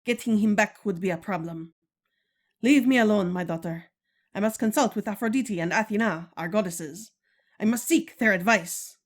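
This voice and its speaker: A woman's voice: medium pitch, moderate pace, close to an everyday voice. A woman playing a protective, commanding Amazon queen in a stately, formal style of 1940s radio serial acting. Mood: grave, troubled.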